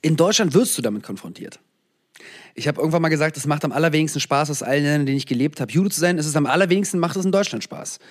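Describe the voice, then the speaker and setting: A man from the former Soviet Union talks as an interview guest, searching for his words with pauses and restarts. His voice sits a little higher than usual for a man. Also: lively intonation. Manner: thoughtful, searching, frequent fillers and restarts. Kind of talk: reflective interview answers.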